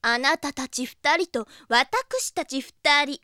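Haughty, high-voiced rich-girl princess. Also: animated, slow pace, bright, forceful tone, no room sound, clean studio sound, heavily acted. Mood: businesslike, superior. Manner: pompous, mannered ojou-sama politeness. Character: haughty, affected rich-girl princess with ornate polite speech. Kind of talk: anime audio drama acting.

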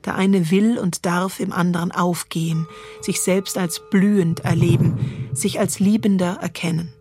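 A woman reading a literary text as scripted narration, in a measured, poetic manner. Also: on the low side, steady tone, darkish tone, a little hiss or hum.

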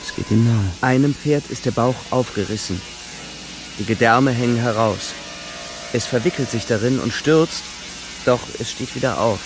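An actor playing a young German soldier who narrates the horrors of the front in terse, intense fragments for a radio play. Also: male voice, medium pitch, moderate pace, close to an everyday voice. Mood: anguished, somber. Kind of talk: dramatic radio play narration in present tense. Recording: loud war effects and music underneath. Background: music, battle sound effects.

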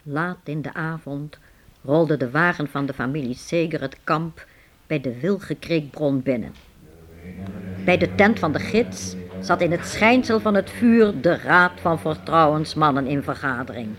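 A woman narrating a radio play in a measured storytelling manner. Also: deep voice, animated.

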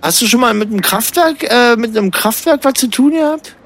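An actor playing a drunken, self-pitying man in a German comic radio play, rambling in a confused, halting way and repeating words. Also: very high-pitched, expressive, fairly bright tone, exaggerated voice.

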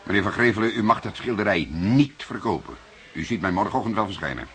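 A man playing an unhurried, wry, stubborn veteran police detective in a radio drama, speaking in a deliberate, dry, fatherly way. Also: fairly low pitch, lively intonation, a bit bright. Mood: polite, insistent. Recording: noisy archive radio drama recording.

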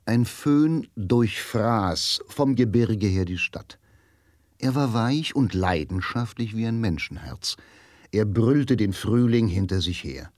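An actor narrating a radio drama as a grave, literary storyteller with a solemn, dramatic manner. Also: male voice, lowish voice, lively intonation, slow pace, a little mellow, dry recording, studio quality. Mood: brooding. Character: grave, literary male narrator of a crime tale.

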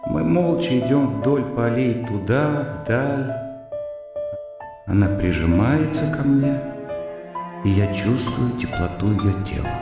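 Detached dreamy scholar guy, gravelly, thinking out loud, huge echo, music behind. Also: medium pitch, animated, takes it slowly. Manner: reflective, musing. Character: detached, weary scholarly brother, reserved and dreamy. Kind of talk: radio play inner monologue. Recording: very echoey hall, music behind.

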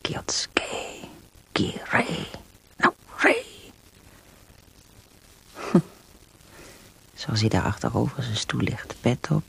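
A bitter, grieving young woman in a radio play, brooding in a hushed inner monologue. Her voice is creaky and slow, swinging widely in pitch, with faint noise and insects behind. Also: wildly swooping pitch, exaggerated voice. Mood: scornful. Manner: dramatic, often hushed and whispering. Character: bitter, grieving young married woman interned in wartime camp. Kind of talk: radio drama interior monologue.

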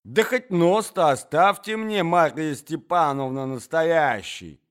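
A man's voice: fairly high pitch, slow, fairly bright tone, clear, resonant tone, voice pushed up. A man doing a doddering forgetful old prince. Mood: muddled. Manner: muddled, bewildered.

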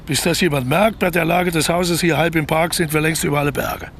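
A smooth, calculating small-time crook in a naturalistic radio play. His voice is a little high for a man, with a gravelly creak. He talks persuasively and with cocky patience, over faint background noise. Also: fairly quick, a bit bright. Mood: smug, calculating. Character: scheming, smooth-talking male crook planning a theft.

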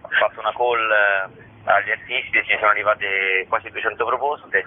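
Casting: male interview guest, lowish voice, explaining things over a narrow telephone line. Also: fairly even intonation, normal speed. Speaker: theatre representative, interview guest. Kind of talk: phone interview. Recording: narrow, tinny phone line.

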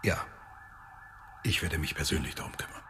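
An older police inspector in a radio play. His voice is low and his manner official and reserved. Sirens and noise are clear behind him. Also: normal speed, bright, forceful tone. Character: unhurried older police inspector near retirement. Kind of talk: radio drama acting.

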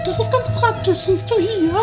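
A woman acts out an extremely high-voiced lady adventurer in a trashy comedy radio play. She is bossy, flippant and theatrical, with music strongly present behind her. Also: moderate pace. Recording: constant music under the voice.

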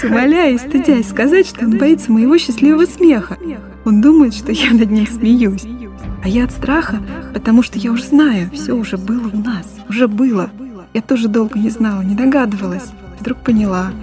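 Dreamy young village woman, acted by a woman, high voice, intimate and fearful reverie, radio drama over prominent music. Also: close to an everyday voice. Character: dreamy, frightened young village woman lost in love memories. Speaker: actress in a radio play.

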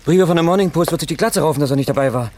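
Man doing an eager newspaper reporter, radio play, highish animated voice, gloating, talks fast. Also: a little hiss or hum, natural voice. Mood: excited. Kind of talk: German crime radio play acting.

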